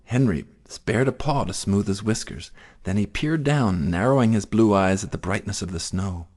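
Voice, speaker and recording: A man narrating a children's story in an expressive, theatrical way. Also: on the low side, moderate pace, soft background music. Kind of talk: dramatic children's story reading.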